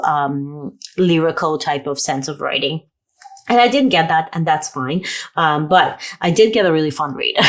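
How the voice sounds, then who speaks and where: Chatty woman with a cold. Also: lowish voice. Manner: chatty, rambling, many fillers and restarts. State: has a cold, sniffly. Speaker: book vlogger. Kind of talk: casual video chat.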